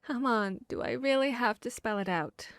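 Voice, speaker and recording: A woman's voice: a little high, a bit bright, smooth, no echo. Hesitant, intimate female vampire hunter, acted by a woman. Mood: flustered, awkward. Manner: hesitant, halting, earnest. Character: guarded, bashful female vampire hunter confessing tender feelings. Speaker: audio roleplay voice actor. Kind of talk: ASMR roleplay, intimate dramatic monologue.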